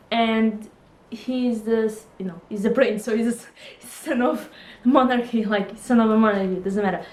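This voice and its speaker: A woman's voice: a little high, a little mellow, roomy sound. A woman in her twenties from Portugal with a Portuguese accent, chatting casually to camera about books, laughing often and hesitating with fillers. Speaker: book vlogger.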